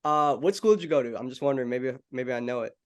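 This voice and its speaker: A man's voice: mid-range voice, fast talker, clear, resonant tone. A young adult man hosting an interview, friendly and casual, calling his guest "bro" and "man".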